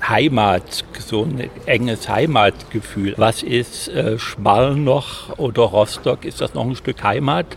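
A man's voice: medium pitch, takes it slowly, vocal fry, hardly any pauses, slight background noise. A man interviewing a guest, feeling his way somewhat hesitantly into his questions.

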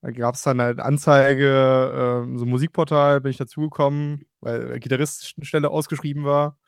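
Metal guitarist guy chatting loosely, smooth clear voice, dry close sound. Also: medium pitch, little pitch movement, moderate pace, no echo. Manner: casual, frequent fillers. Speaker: guitarist and songwriter. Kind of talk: interview answers.

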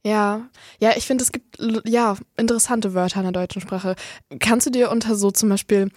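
A woman's voice: mid-range voice, fairly even intonation, normal speed, darkish tone, dry recording. Female radio host, casual and conversational, slightly hesitant phrasing, close dry studio sound. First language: German.

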